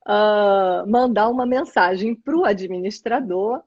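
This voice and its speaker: Husky-voiced woman host, dull dry sound. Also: mid-range voice, darkish tone, no echo. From Brazil. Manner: storytelling, halting with restarts. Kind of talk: casual live chat.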